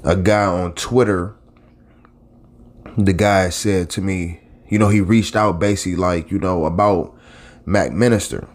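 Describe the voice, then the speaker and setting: A man's voice: low-pitched, steady tone, unhurried. A man in his late thirties, a channel host, talking casually and at length to his audience in a rambling, conversational way. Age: late 30s. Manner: rambling and conversational, with many restarts and asides. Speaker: YouTube blogger and channel host. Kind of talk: casual talk straight to camera.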